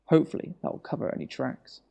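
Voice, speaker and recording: Man acting a calm, ruthless government minister. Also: fast talker, close to an everyday voice. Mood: cold resolve. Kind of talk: audio drama acting.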